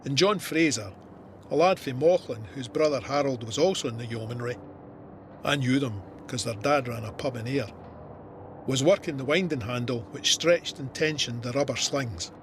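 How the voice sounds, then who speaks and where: An actor with a Scottish accent playing a soldier who narrates his war memoir in a measured, vivid, plain-spoken way. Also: mid-range voice, slight background noise, close to an everyday voice. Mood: warm, reflective. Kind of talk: scripted first-person war memoir narration.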